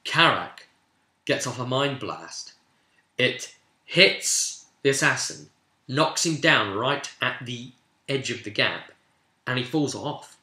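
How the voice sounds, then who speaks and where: A British man casually recounting a tabletop game as its game master, in an animated storytelling way. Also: medium pitch, slow pace. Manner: animated, acts out players' remarks in quotes. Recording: roomy, echoing room sound.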